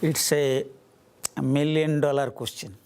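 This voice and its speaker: A man with an Indian accent, a spiritual teacher answering questions at a gathering in slow, deliberate phrases with long pauses. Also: fairly high pitch, steady tone, a little mellow, a little hiss or hum. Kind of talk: satsang talk answering questions.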